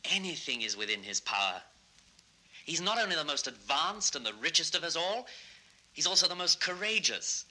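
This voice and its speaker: A man's voice: on the high side, big pitch swings, moderate pace, sharp tone, full character voice, low-quality recording. A man playing an ardent young composer and admiring disciple in a vintage children's record drama, earnest and enthusiastic. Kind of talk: 1960s children's record radio-play acting.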